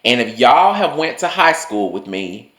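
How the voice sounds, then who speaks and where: A man's voice: very animated, unhurried, fairly bright tone. Chatty young man, swinging voice, echoey room. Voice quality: voice swings higher and lower. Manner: chatty, loose, full of "like" and swearing. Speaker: vlogger telling personal stories. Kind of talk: casual storytelling monologue.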